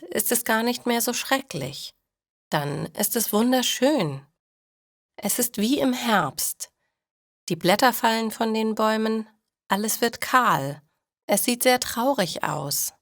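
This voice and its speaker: Storybook role: thoughtful, consoling woman, read by a woman, reassuring and unhurried. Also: mid-range voice, no room sound, studio quality. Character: caring, philosophical woman consoling her friend.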